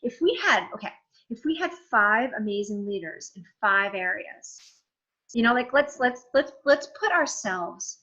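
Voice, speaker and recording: Frank, animated woman in her forties. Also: a little high, measured pace, lo-fi sound. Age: 40s. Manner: animated, frank, swears freely, restarts often. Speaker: host. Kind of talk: casual conversation.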